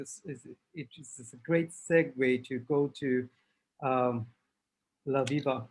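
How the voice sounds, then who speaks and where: A man moderating a webinar panel over a video call, speaking haltingly with many fillers as he hands over to the next speaker. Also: medium pitch, low-quality recording.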